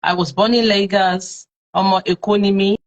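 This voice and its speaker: A woman's voice: medium pitch, fairly even intonation, moderate pace. A Nigerian woman hosting a live-streamed talk show, chatting with followers and leaning on plenty of fillers. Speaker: host.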